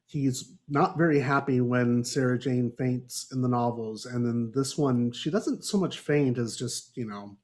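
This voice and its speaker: A man's voice: medium pitch, a little slow, few pauses, darkish tone. A man hosting a casual book club discussion over a video call, often chuckling and prompting others with questions. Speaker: podcast host.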